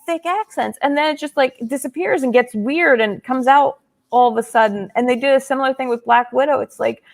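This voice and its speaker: A woman guesting on a casual podcast, her voice pitched a bit high with a gravelly fry running through it. She talks in an animated, opinionated way and is quick to laugh. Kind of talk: casual chat.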